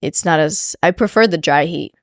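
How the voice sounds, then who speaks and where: A woman's voice: deep voice, normal speed, no room sound, studio quality. A woman co-hosting a podcast, sniffly from a cold, chatting casually with lots of likes and restarts. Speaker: podcast co-host. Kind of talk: casual chat.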